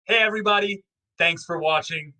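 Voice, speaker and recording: Male presenter for a channel sign-off, fairly high voice, bright and forceful delivery. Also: fairly quick. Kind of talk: promotional channel outro.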